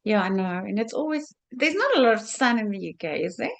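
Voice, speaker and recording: A South African woman being interviewed about her quilt, speaking haltingly with many fillers and restarts. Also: mid-range voice, big pitch swings, vocal fry. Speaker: guest quilter. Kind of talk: video call interview.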